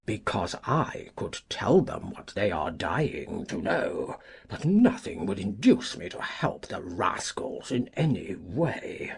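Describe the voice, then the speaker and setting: A very raspy, breathy old squire in a classic drama. He is pompous, litigious and quick to indignation, drawling slowly and swooping theatrically across his range. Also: on the low side, very animated, exaggerated voice. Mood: sly, boastful. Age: older. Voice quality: husky, creaky old voice. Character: cantankerous, self-righteous, litigious old country gentleman. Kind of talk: dramatised classic audiobook acting.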